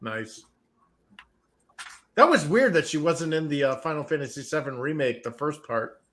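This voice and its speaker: A man's voice: on the high side, expressive, moderate pace, fairly bright tone. A middle-aged man giving casual, jokey wrestling watch-party commentary, swearing freely.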